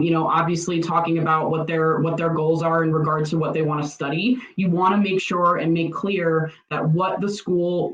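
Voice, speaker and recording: Female former coach as interview guest, earnest, wordy explainer who rephrases often; echoey, slightly muffled audio. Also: low voice. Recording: roomy, dull lo-fi audio.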